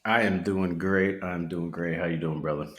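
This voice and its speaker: Deep voiced guy telling his story, sincere, kinda echoey room sound. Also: steady tone, fairly bright tone. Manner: sincere, reflective, pauses and restarts. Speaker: podcast guest. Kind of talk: personal testimony. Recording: roomy echo.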